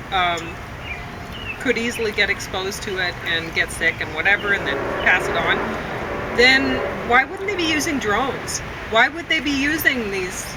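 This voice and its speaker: A woman's voice: a little high, noisy background. A woman reviewing films in a casual, unscripted chat, rambling and often correcting herself as she goes. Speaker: film reviewer.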